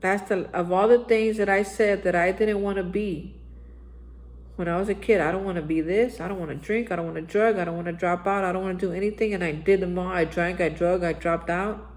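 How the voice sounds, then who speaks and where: A man's voice: high-pitched, fairly even intonation, a little fast. Earnest man giving a recovery pep talk. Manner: earnest, exhorting, repeats phrases and says "man". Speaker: recovery vlogger. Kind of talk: daily spiritual reflection monologue. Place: Austin, Texas area.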